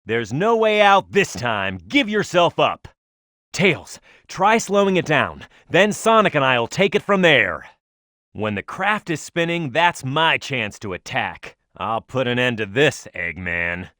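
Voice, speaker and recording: A man's voice: mid-range voice, sing-song, unhurried, sharp tone, no room sound, studio quality. A man voicing a tough, hot-headed echidna brawler in a video game, confident and brash, with punchy, emphatic delivery. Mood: confident, combative. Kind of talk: video game voice acting, tutorial hints and battle shouts.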